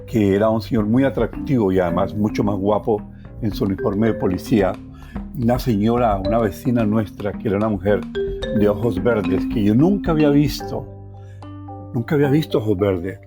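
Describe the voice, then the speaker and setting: An older Colombian man telling personal stories in a reflective, expressive way as an interview guest. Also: fairly low pitch, moderate pace, music in the background. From Valle del Cauca, Colombia. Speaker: journalist, podcast guest. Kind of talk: personal storytelling.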